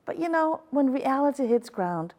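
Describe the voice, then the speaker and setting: A woman's voice: sits high, expressive, moderate pace, darkish tone. Woman hosting a thoughtful panel discussion. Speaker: talk show host.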